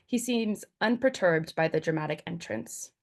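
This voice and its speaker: A woman reads scripted stage directions as the narrator of a table read. Her voice sits a little low for a woman. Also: expressive, normal speed.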